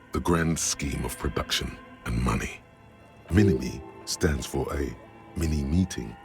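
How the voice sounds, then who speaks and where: A man voicing a solemn, brooding inner-voice narrator in a video game, speaking in measured, literary scripted narration. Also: heavily acted. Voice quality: deep, gravelly.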